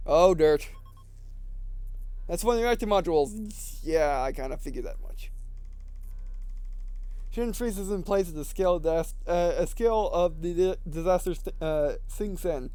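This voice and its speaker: A man doing a let's play, reading game text aloud haltingly, often stumbling and repeating phrases, with casual comments. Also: high-pitched, mellow, smooth, no room sound. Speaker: gaming video host reading a visual novel aloud.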